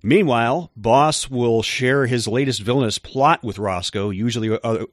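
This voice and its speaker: A man co-hosting a podcast, explaining things in a measured way with occasional hesitant fillers. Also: mid-range voice, dry recording, clean studio sound. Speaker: podcast co-host. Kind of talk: casual chat.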